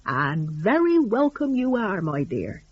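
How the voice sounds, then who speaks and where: A gentle old shepherd as a woman storyteller plays him, hospitable and folksy, full of soothing endearments. The voice is husky and swings up and down in pitch. The recording is slightly dull and lo-fi. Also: wildly swooping pitch, a little slow, no echo, full character voice. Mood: welcoming.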